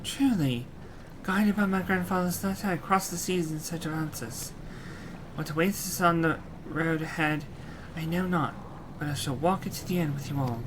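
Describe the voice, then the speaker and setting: Man voicing a wistful young warrior woman, quiet, reflective, determined. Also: a little high, normal speed, noisy background, pitched above the performer's own voice, natural voice.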